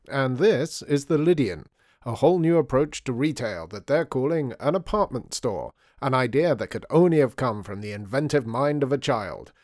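A man voicing an eager, bossy young girl in a storybook, with an excitable, chirpy manner. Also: medium pitch, no room sound, studio quality, pitched above the performer's own voice, close to an everyday voice. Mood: proud reading aloud.

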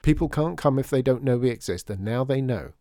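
A man voicing an eager, bossy young girl in a storybook, with an excitable, chirpy manner. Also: mid-range voice, brisk, no echo, clean studio sound, lightly acted. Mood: excited, insistent.

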